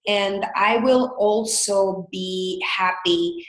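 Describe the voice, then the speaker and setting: A woman's voice: mid-range voice, steady tone, extremely slow, roomy sound, poor audio. A woman in her thirties from El Salvador with a Salvadoran Spanish accent, presenting a business webinar in an earnest, candid way with frequent fillers. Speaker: business consultant.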